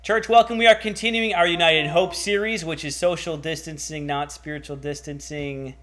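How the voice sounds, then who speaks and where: A man's voice: a little high, steady tone, normal speed, bright, forceful tone. A man hosting a livestreamed church broadcast, upbeat and enthusiastic as he interviews a guest. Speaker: church host.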